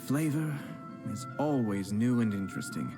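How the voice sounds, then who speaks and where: A man's voice: medium pitch, animated, moderate pace, natural voice. An actor playing a composed, gracious young nobleman in a game, speaking in a polished, courteous way. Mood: friendly. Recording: game audio with music underneath. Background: game music.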